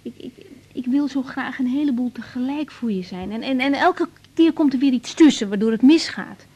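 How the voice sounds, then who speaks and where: A young woman doctor in a radio play, with a mellow, slightly husky and fairly high voice. She is blunt and volatile, coarse-mouthed, and stumbles over her words when she opens up. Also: moderate pace. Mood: confiding, earnest. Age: young adult. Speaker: radio drama actress playing a doctor. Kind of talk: naturalistic 1980s Dutch radio drama dialogue.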